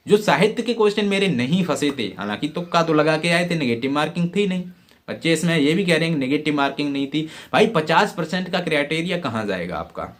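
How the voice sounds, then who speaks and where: Young male exam-prep YouTuber, mid-pitched, conversational explainer delivery. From Uttar Pradesh, India. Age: young adult. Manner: conversational, repeats check-in phrases, mixes in English words. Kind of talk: informal explainer addressed to exam candidates.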